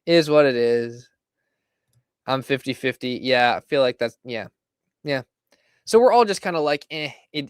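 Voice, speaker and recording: Hoarse chatty male sports-fan host. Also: mid-range voice, no room sound, clean studio sound. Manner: chatty, laughs between lines, reads out viewer comments. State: hoarse, losing his voice. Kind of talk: casual live-stream commentary. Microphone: desk microphone.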